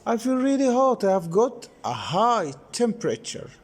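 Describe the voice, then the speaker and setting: Guy teaching english, kinda high voice, bouncy intonation, explains step by step. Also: sing-song, moderate pace. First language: Arabic. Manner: explains step by step, repeats page numbers. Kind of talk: classroom lesson explanation.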